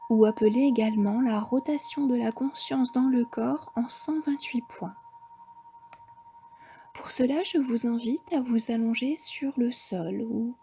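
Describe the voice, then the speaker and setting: A woman with a French accent guiding a yoga nidra relaxation, giving slow, soft, half-whispered breathing cues. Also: a little high, a little hiss or hum. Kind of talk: guided relaxation instruction.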